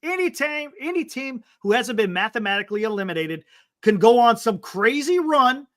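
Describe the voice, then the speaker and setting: Animated, emphatic man in his fifties. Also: very high-pitched, normal speed, no echo, studio quality. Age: 50s. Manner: emphatic, repeats himself, calls people "man". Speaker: sports talk show host. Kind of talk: casual live-stream sports chat.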